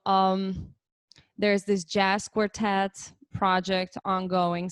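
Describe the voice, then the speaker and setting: A woman's voice: medium pitch, clear, resonant tone, no echo, clean studio sound. A woman, a musician answering interview questions thoughtfully with frequent hesitations over a video call. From Lithuania. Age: 30s. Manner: thoughtful, hesitant, many fillers. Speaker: musician guest. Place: home, online interview.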